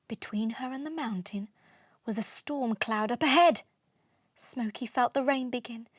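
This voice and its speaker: A woman narrates a children's storybook in rhyming verse. Her voice is high and her delivery is slow, expressive and rhythmic. The recording is close and dry. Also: husky, dry recording. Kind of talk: expressive children's read-aloud.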